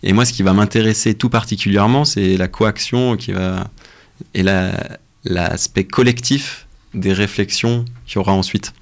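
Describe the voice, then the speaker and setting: A young man speaking as a researcher guest in a casual radio interview, explaining things in a halting way with frequent pauses. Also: lowish voice, steady tone. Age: young adult.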